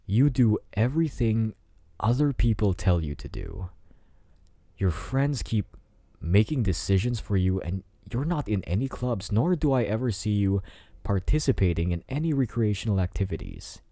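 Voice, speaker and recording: A man acts out a teenage bad boy in an intimate ASMR roleplay. His voice is low and swings widely in pitch as he taunts and teases with smug confidence. The sound is close and dry. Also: expressive, warm tone, dry recording. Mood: teasing, softening. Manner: taunting, smug, drawn-out teasing delivery. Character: cocky teasing teenage delinquent bad boy student.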